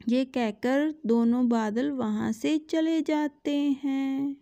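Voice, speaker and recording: A woman's voice: high-pitched, slow pace, creaky, warm tone, dry recording. A woman narrating a scripted children's story.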